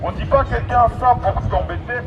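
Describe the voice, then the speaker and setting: High-pitched man preaching through a megaphone outdoors. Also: fast. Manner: exhorting, repetitive, emphatic phrasing. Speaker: street evangelist. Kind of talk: open-air megaphone sermon. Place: outdoors in an urban public street. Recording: dull, distant megaphone sound, loud street noise. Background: traffic and city ambience.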